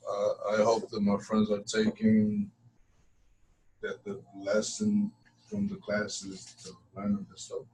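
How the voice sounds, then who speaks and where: A man's voice: lowish voice, very animated, a little slow, a little mellow, reverberant. Male student, first language Spanish, casual discussion delivery. Off-mic with faint noise. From Cuba and America. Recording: distant mic with background noise.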